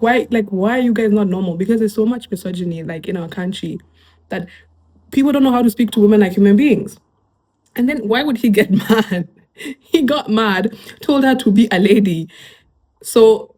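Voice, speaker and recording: A young woman with a Kenyan accent talks casually in a middle-pitched voice. She is chatty and easygoing, with plenty of fillers. She slips Swahili phrases in between her English. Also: darkish tone. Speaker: YouTuber reacting to online posts. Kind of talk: casual reaction commentary.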